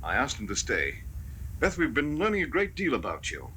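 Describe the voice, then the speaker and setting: Radio drama, 1970s: inquisitive, soothing doctor, crisp bright tone, expressive delivery. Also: male voice, medium pitch, a little fast, a little hiss or hum, rough recording. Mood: calm, reassuring. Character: probing, reassuring male doctor studying a possessed patient. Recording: 1970s radio recording, music behind.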